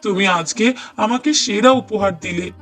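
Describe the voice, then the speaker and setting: A man plays a gentle, devoted young husband in a cartoon drama. His voice is high for a man, earnest and tender, and he leans on repeated words. Music plays behind him. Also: measured pace. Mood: overjoyed. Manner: earnest, tender, emphatic repetition. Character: affectionate young husband, delighted father-to-be, gentle and doting. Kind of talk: cartoon dialogue acting. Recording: voice over prominent music bed.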